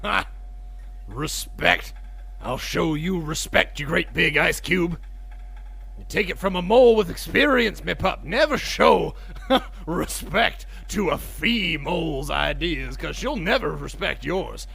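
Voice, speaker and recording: A man's voice: fairly high pitch, lively intonation, slow pace, vocal fry, a bit bright, exaggerated voice. An actor playing a crotchety, bossy old male mole who blusters and mutters in a comic audio drama. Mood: scornful, blustering.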